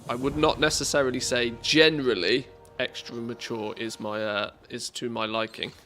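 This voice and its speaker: A man's voice: medium pitch, steady tone, normal speed, bright, forceful tone, a little hiss or hum. A man with an English accent chatting casually as a game livestreamer, in a jokey, self-deprecating way. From England, born in the northwest, lived mostly in the Midlands.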